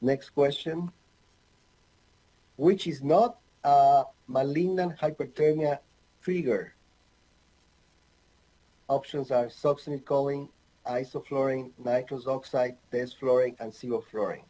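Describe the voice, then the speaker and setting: Mellow-voiced man from Ecuador lecturing. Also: mid-range voice, fairly even intonation, poor audio. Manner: halting, many fillers, light humor. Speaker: anesthesiologist presenter. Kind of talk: recorded online medical lecture. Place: home office with bookshelves.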